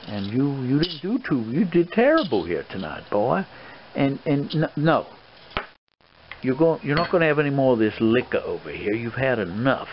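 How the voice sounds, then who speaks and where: A man playing a stern, fatherly old colonel in an improvised role play, scolding a young man in a halting, rambling way. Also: medium pitch, close to an everyday voice. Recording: dull lo-fi recording with clear background noise.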